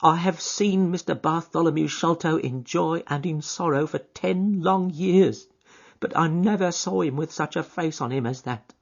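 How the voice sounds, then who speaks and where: A man voicing an anxious, tearful old housekeeper woman in a high, fretful voice. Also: normal speed, vocal fry, highs cut off.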